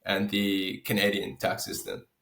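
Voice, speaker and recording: An Australian man hosting a finance podcast, reading a scripted intro and then chatting in a friendly, relaxed way. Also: on the low side, a little slow. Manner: friendly, relaxed, with fillers and restarts when off script. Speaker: podcast host and financial advisor. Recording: roomy echo.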